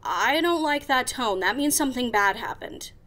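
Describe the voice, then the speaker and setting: High-ish female voice, very animated, brash sarcastic cartoon pony DJ, acted by a woman, comic audio drama. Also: moderate pace. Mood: irritated, sarcastic. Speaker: radio host. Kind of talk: comic audio drama with first-person narration.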